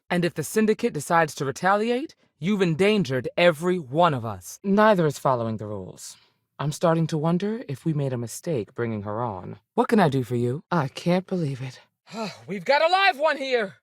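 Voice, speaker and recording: A woman's voice: sits low, no room sound. An actress playing a tough, no-nonsense space ranger and mother in a video game, firm, plainspoken and dry in manner. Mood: earnest, then commanding. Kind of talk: video game dialogue acting.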